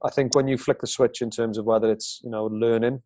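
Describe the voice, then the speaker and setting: A man's voice: medium pitch, fairly even intonation, warm tone, dry recording, studio quality. A man hosting a podcast, chatting in an upbeat, encouraging way. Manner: upbeat, encouraging, quick talker. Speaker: podcast host. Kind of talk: casual interview chat.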